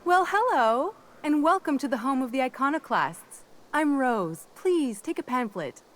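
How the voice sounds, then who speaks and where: An actress playing a welcoming cult greeter handing out pamphlets in a video game. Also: female voice, high voice, very animated, unhurried. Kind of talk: video game character dialogue.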